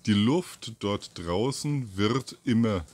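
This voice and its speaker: A man in his fifties giving an informal, halting monologue to camera, thinking aloud with pauses and repeats. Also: lowish voice, animated, darkish tone. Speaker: video channel host. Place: outdoors on a terrace or balcony by trees. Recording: outdoor ambient noise.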